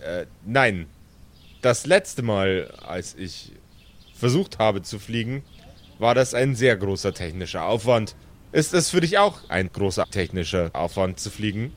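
A man's voice: lowish voice, sing-song, measured pace, voice pushed down. A man performs an impostor pretending to be an ordinary human man in an audio role-play. He is stiff and robotic, painfully polite, and explains things far too literally and formally. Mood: stiffly polite. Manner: stilted, over-polite, over-literal.

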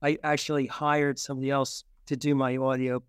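A man speaking casually as an author being interviewed, haltingly repeating and rephrasing his thoughts. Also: mid-range voice, flat delivery, smooth, no echo, clean studio sound. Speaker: children's book author, podcast guest.

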